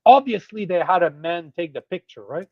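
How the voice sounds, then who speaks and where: A Canadian man with a French accent, talking politics in a blunt, scornful way and swearing freely. His voice sits high for a man, and the sound is dull and very dry, as if recorded close in a small dead room. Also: sing-song, moderate pace, no room sound. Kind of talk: casual political chat.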